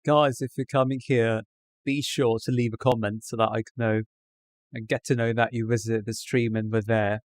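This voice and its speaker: Male streamer, affected Received Pronunciation British accent, casual direct-to-viewer chat, mid-pitched voice, dry sound. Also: little pitch movement, normal speed, no room sound. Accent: put-on British Received Pronunciation. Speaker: live streamer.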